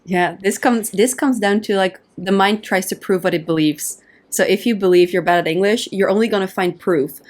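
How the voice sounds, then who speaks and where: Explanatory Dutch woman coaching guest. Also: medium pitch. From Netherlands. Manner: explanatory, uses quick acted-out inner voices. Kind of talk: casual interview conversation.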